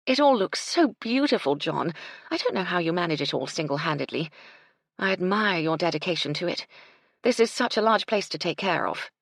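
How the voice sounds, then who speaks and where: A woman voicing a gracious, shrewd lady proprietor who speaks politely with a gently wry edge. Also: fairly low pitch, animated, brisk, vocal fry, no echo, studio quality.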